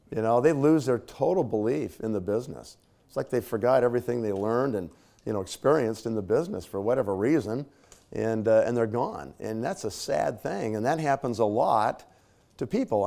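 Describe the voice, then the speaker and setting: A man with a slightly scratchy voice, clearing his throat now and then, gives a conversational leadership talk to a live audience. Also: mid-range voice, warm tone. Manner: conversational, casual fillers and tag questions. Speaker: speaker at a leadership training.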